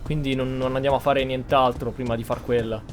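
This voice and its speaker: A man's voice: medium pitch, level tone, fast talker. An Italian man giving casual commentary as he plays through a roleplay game. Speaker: gamer commenting on a roleplay playthrough. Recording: music behind the voice.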